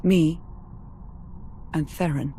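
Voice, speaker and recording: An actress playing a focused intelligence agent in a video game, businesslike and urgent. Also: female voice, sits low, extremely slow, dark tone, a little hiss or hum, full character voice.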